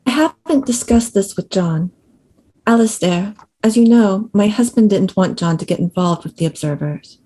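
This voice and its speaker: Casting: actress as a guarded, formal widow with a soft, dark-toned, mid-pitched voice. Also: female voice, lively intonation, normal speed, lightly acted, low-quality recording. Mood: composed, guarded. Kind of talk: screenplay table read.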